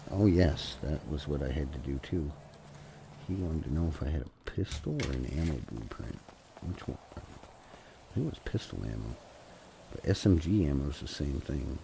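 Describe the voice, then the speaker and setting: Super deep gravelly guy streaming a game, blocked-up nose from a cold, dark voice, bit of faint noise. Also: a little slow. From Canada. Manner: mumbling, talks to himself and the game. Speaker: gaming streamer. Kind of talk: casual solo game commentary.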